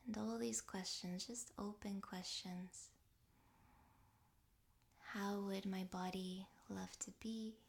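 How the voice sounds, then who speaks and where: A woman leads a guided rest meditation in a soft, breathy near-whisper. Her delivery is very slow and gentle, with long pauses between phrases. The pitch stays level and calm throughout. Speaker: yoga nidra meditation guide.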